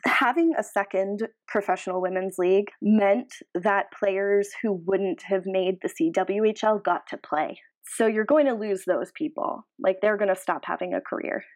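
A woman's voice: mid-range voice, moderate pace, hardly any pauses. A woman co-hosting a podcast, casually discussing sports and giving reasoned opinions. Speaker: podcast co-host.

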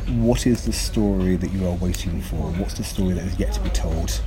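A man's voice: deep voice, normal speed, low-quality recording. A man hosting a podcast interview in a conversational style, putting questions to his guest.

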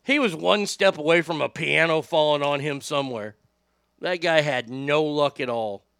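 A middle-aged radio host with a high, bright voice and a gravelly edge tells profane stories in a casual, animated way. The sound is close and dry, with no room around it. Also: male voice, normal speed, no echo. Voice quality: high voice without much bass. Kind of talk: casual storytelling podcast.

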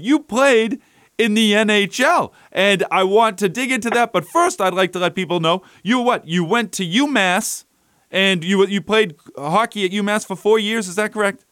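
A man's voice: sits high, a bit bright, no echo, clean studio sound. A man hosting a local radio talk show, chatty and upbeat, rambling a little as he talks.

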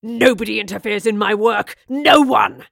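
A woman's voice: medium pitch, slow pace, a bit bright, dry recording, studio quality. A woman reading a story, voicing a menacing male villain who growls and snarls threats. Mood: enraged shouting. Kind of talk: audiobook reading.

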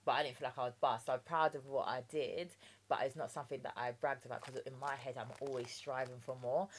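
A woman's voice: rumbling low, fairly even intonation, fast talker, a little hiss or hum. A woman in her thirties with a London accent, born in Jamaica, chatting candidly as a guest on an interview podcast. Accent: London English. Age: 30s. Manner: chatty and candid, with lots of "do you know what I mean". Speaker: guest entrepreneur.

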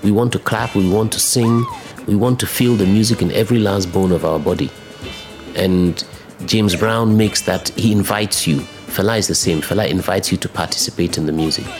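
A music producer from Ghana, speaking passionately in an interview and speeding up when enthused. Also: male voice, low voice, normal speed. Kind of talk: interview commentary.

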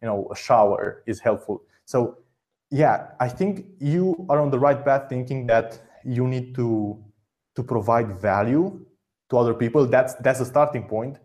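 Man, podcast host, mellow tone, direct advice, quick bursts, repeats words. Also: mid-range voice. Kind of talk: conversational advice interview.

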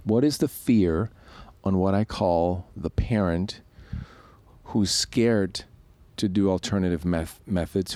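A man's voice: low voice, darkish tone, no echo. A man from Switzerland co-hosting a podcast, explaining ideas in a loose, reflective way with many restarts. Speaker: podcast co-host. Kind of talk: casual podcast conversation.

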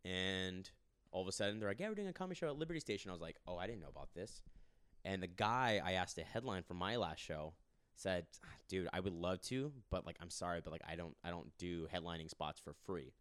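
Fast-talking deep-voiced man telling stories. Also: fairly bright tone, clean tone, no echo. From Arizona. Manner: storytelling, acts out quoted people. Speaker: podcast host and stand-up comedian. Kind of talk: casual podcast chat.

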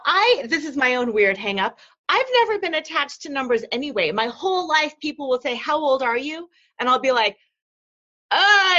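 A woman's voice: high voice, sharp tone. A woman in her late forties hosting a podcast, chatty and agreeable, with a self-deprecating way of telling stories. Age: 40s. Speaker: podcast host. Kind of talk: podcast interview conversation. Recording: dull lo-fi audio.

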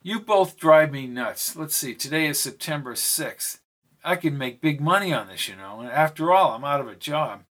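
A man's voice: fairly high pitch, lively intonation, moderate pace, fairly bright tone. A man voicing an easygoing young man with a wry, amused manner.

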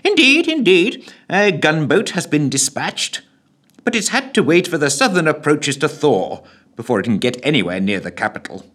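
A blustering, self-important defence secretary, pitched well above a normal speaking voice and swooping up and down as he hems and haws, played by a storyteller. His tone is husky and breathy, and he is full of fussy pomposity. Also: very animated, normal speed, fairly bright tone. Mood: pompous. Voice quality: blustering, flustered.

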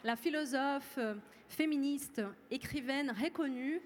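A woman moderating a public debate, a native Portuguese speaker who reads her prepared remarks in a measured way. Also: high voice, over a noisy crowd. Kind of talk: formal event moderation. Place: lecture hall with audience. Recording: reverberant hall, faint room noise.

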